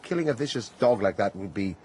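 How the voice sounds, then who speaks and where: A man acts out a brooding, world-weary prince in a British radio drama. His well-spoken British voice sits low but moves widely in pitch. He is sceptical and clipped, with light outdoor noise behind him. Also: animated, fast, a little mellow, lightly acted. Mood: bitter, sceptical. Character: disillusioned, cynical Russian prince, a brooding landowner.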